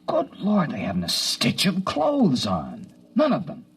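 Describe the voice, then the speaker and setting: A man's voice: medium pitch, huge pitch swings, unhurried, slightly rough, exaggerated voice. An actor playing an agitated, defensive male biologist in 1950s radio drama, stammering and emphatic. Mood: flustered.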